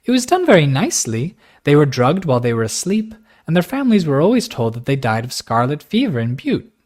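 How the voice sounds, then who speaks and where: Storybook figure: a man playing a rich young girl, high-voiced, frank and passionate, rushing her words. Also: very animated, darkish tone. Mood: matter-of-fact. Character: wealthy young woman, impulsive, frank.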